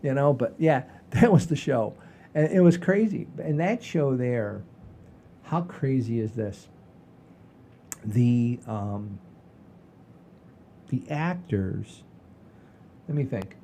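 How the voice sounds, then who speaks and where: Mellow-voiced man in his sixties, casual storyteller. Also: medium pitch, animated, slow pace, pauses often, a little hiss or hum. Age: 60s. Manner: emphatic, repetitive, profane. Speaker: podcast host. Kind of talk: casual comedic storytelling chat.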